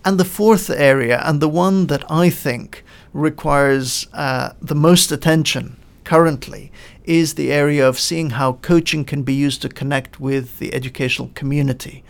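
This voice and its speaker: A middle-aged man from Lebanon talks through ideas as a podcast guest, pausing often with small fillers. His voice sits a little high for a man and has a gravelly edge. He sounds thoughtful and methodical. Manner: measured, explanatory, with frequent uh fillers. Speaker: guest, coaching in education expert. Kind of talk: podcast interview answers.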